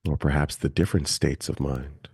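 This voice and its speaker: A man leading a guided meditation as a teacher, speaking gently and calmly with long, unhurried pauses. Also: rumbling low, slightly rough, no echo, studio quality.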